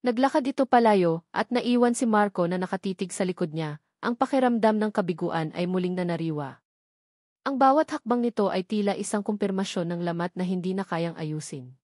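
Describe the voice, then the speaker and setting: A woman's voice: mid-range voice, a little fast, darkish tone, no room sound. Woman narrating a dramatic story, dry sound.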